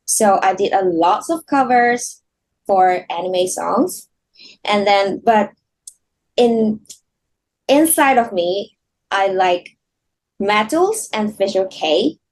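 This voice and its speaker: A woman from Hong Kong answers interview questions slowly and haltingly. Her voice is soft and mid-pitched, and she laughs often between her thoughts. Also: expressive, rough recording. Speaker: guest singer. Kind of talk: casual interview chat.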